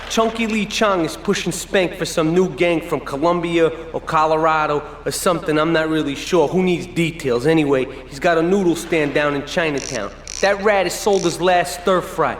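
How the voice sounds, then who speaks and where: An actor playing a cocky young mobster and mechanic, smug and casual. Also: male voice, a little high, normal speed, few pauses, echoey room, long reverb tail, a little hiss or hum, close to an everyday voice.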